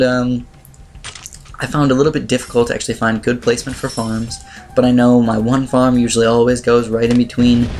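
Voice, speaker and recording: A man with a slightly raspy voice giving casual, rambling game commentary as he explains strategy. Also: medium pitch, noisy background. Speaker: gaming video host. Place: voice-over on screen-recorded gameplay. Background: music.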